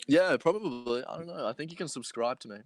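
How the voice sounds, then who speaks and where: A man's voice: mid-range voice, lively intonation, fast, crowd noise. A man co-hosting a casual podcast, joking around with friends and repeating phrases for emphasis. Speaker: podcast co-host. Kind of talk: casual joking group chat. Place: remote group podcast during quarantine.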